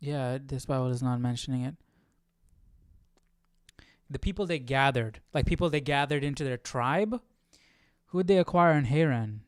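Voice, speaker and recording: A man's voice: mid-range voice, moderate pace, long pauses, clear, resonant tone, dry recording, studio quality. A man hosting a live Bible study, casually reading aloud and questioning what he reads as he thinks it through. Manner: questioning, musing to himself.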